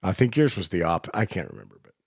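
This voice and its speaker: Low-pitched man in casual, close dry chat. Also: huge pitch swings, quick pace, gravelly, breathy edge, no echo. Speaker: radio show host.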